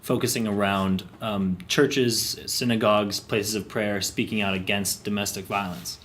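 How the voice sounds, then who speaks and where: A man's voice: fairly low pitch, steady tone, measured pace, fairly bright tone, a little hiss or hum. A man hosting a podcast in a casual, eager way, curious and apologetic, laughing easily. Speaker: podcast host. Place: basement room.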